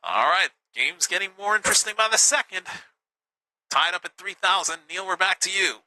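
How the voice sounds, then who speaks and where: Lively, bright-voiced male game show host. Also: high voice, moderate pace, slight background noise, poor audio. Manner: lively, upbeat, teasing. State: slightly hoarse. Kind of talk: livestream game show hosting.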